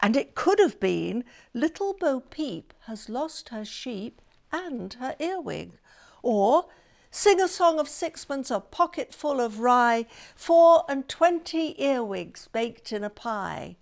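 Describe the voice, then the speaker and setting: A woman's voice: fairly high pitch, sing-song, slow, gravelly, exaggerated voice. A woman voicing a helpful male earwig friend who recites rhymes in a sing-song storybook manner. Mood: eager reciting.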